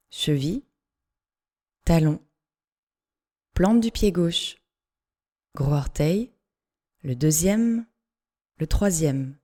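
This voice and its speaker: A woman yoga instructor leading a guided relaxation meditation, giving measured instructions in short phrases with pauses between them. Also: fairly low pitch, mellow.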